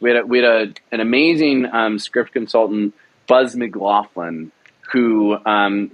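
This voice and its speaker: A man's voice: on the low side, highs cut off. A man, a drama producer, talking earnestly in an interview, emphatic and often repeating words as he thinks. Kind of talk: passionate conversational interview.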